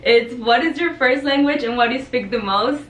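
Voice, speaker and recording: A woman's voice: fairly high pitch, normal speed, fairly bright tone, slight background noise. A talkative teenage woman, chatting to camera in her bedroom. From El Paso, Texas, raised in Juarez, Mexico. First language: Spanish. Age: teens. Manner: bubbly, talkative, gestures a lot. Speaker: vlogger. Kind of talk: casual talk to camera. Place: bedroom with tapestry backdrop, indoors. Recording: echoey room, mic a bit far.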